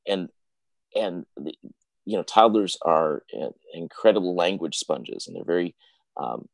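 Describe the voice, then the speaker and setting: A man's voice: sits low, animated, unhurried, gravelly, no echo. A man on a live panel, a father, talking in a reflective way about his own family's experience with languages. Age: adult parent.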